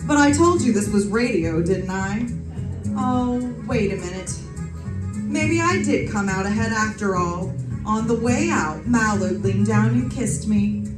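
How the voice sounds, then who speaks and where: A woman's voice: medium pitch, lively intonation, measured pace, loud music behind the voice, natural voice. A woman playing a wry, hard-boiled private detective in a live old-time radio mystery, telling it in slangy style. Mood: wry, breezy. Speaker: radio drama performer. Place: live stage performance in a reverberant room. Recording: echoey room, picked up from a distance.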